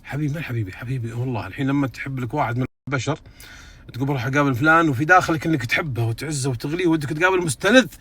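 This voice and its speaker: A man's voice: mid-range voice, rapid-fire, fairly bright tone, slightly rough. A Saudi man giving an earnest religious reflection, repeating phrases in a preaching cadence. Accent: Saudi Arabic. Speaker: speaker recounting leaving hospital.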